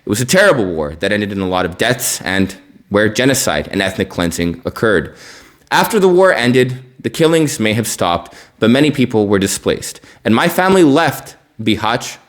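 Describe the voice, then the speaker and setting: A man's voice: fairly low pitch, very animated, moderate pace. A man gives an opinionated news report, explaining emphatically and rephrasing his points. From born in Bosnia, partly raised in the United States. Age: 20s. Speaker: news podcast host.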